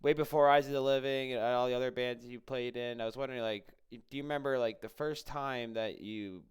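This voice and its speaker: Clear-voiced male podcast host. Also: medium pitch, fairly even intonation, brisk. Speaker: podcast host interviewing a musician. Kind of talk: casual interview chat.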